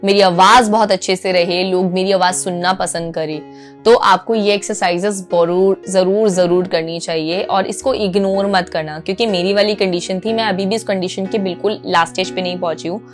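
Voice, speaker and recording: A woman's voice: medium pitch, steady tone, normal speed, faint music behind. A woman with an Indian accent gives informal instruction to viewers, emphatic, repeating key phrases, checking in often and switching between two languages. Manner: mixes Hindi with English phrases. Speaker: anchor giving voice coaching.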